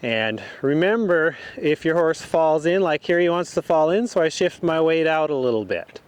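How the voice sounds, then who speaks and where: A man giving calm, explanatory riding instruction as he rides in an outdoor arena. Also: fairly high pitch, normal speed, clear, resonant tone, no echo. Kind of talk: instructional commentary while riding.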